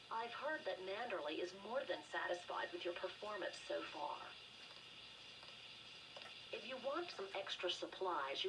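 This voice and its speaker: A woman playing a friendly, confiding agency staffer in a video game, chatty in manner. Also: on the low side. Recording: noisy, slightly distant game audio.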